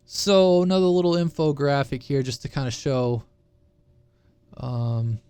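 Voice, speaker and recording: A man with an average-pitched voice presents an informal technical explainer. He talks off the cuff in a conversational way and checks in with listeners as he goes. The sound is dry, with very little room echo. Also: lively intonation, unhurried, warm tone, smooth. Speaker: presenter of a CB radio explainer channel. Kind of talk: casual, unscripted technical explainer.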